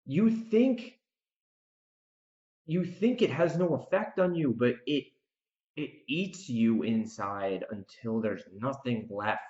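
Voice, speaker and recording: Male podcast host, off-the-cuff opinionated rant, emphatic repetition and dramatic pauses, roomy and slightly dull sound. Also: medium pitch, animated, a little mellow. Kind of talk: unscripted opinionated podcast monologue.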